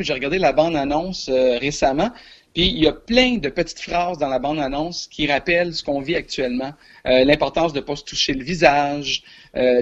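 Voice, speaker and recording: A man's voice: fairly high pitch, dull audio. A man in his forties with a Quebec French accent, a film columnist chatting on the radio, hesitant with many filler sounds. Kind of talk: casual radio chat.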